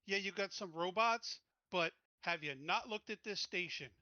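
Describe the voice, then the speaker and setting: A blunt, unyielding soldier played by a man at a game table with a bright, forceful voice on the higher side. He lays down ultimatums plainly, in a dry recording. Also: normal speed, no room sound, lo-fi sound. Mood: firm, threatening.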